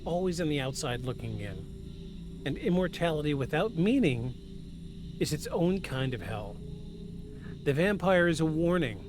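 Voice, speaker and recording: Higher-voiced man podcasting over music. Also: sing-song, measured pace, long pauses. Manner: effusive, repeats himself for emphasis. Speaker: podcast host. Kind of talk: live-streamed paranormal podcast, scripted narration mixed with casual asides. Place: at home.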